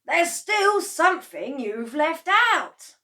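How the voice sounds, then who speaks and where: A woman voicing an excitable, bossy farmer father in a storybook reading. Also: extremely high pitch, takes it slowly, pitched above the performer's own voice, heavily acted. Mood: grumbling. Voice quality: loud, bossy.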